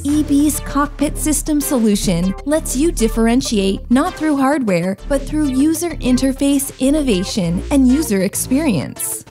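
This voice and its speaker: Female corporate narrator, high pitch, polished read over loud music. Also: unhurried, few pauses. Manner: polished, even promotional delivery.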